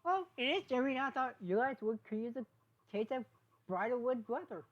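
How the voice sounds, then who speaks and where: A woman reads as a young mare unicorn from a cartoon fan story. She is a well-meaning troublemaker, pitched up and eager to explain herself. Her delivery is sheepish and apologetic, tripping over words. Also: expressive, brisk, lo-fi sound, natural voice. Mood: cheerful, defensive.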